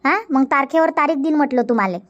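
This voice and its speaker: A woman's voice: high-pitched, quick pace, lightly acted. A woman playing a shrewd, outspoken farmer's wife in a cartoon comedy, blunt and emphatic, with a Varhadi Marathi accent from Vidarbha. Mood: scolding. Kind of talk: dubbed cartoon comedy dialogue. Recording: dull lo-fi audio.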